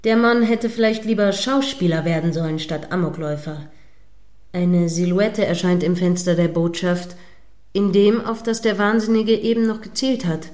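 A woman's voice: on the low side, normal speed, a little mellow, close to an everyday voice. Actress as female news reporter, indignant, judgmental, dramatic live commentary, radio play. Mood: tense commentary.

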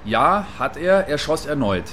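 A man's voice: fairly high pitch, very animated, bright, forceful tone, full character voice. Man playing an on-scene radio reporter, background noise. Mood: earnest, factual. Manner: factual, deliberate, long-winded. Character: earnest, wordy male on-scene radio reporter. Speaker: field reporter. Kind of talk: satirical radio play as live news report.

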